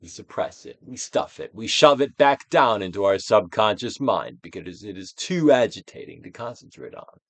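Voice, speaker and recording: A man giving a dramatic, theatrical reading of a self-help book, moving between hushed near-whispers and emphatic projection, with deliberate pauses. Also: fairly low pitch, very animated, no echo. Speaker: audiobook reader, actor.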